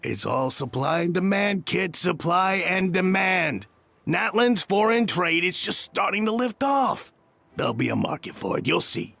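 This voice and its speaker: A cocky, gravelly smuggler boss. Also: male voice, high-pitched, big pitch swings, a little slow, fairly bright tone, no room sound, heavily acted. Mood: smug. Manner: laughing, lecturing, self-assured. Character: cocky male smuggler boss, middle-aged. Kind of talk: game voice acting.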